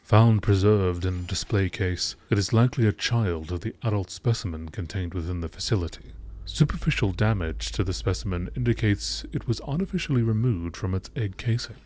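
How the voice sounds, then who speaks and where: Deep-voiced man, documentary-style narrator. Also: darkish tone. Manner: measured, formal reading. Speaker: narrator reading game lore entries.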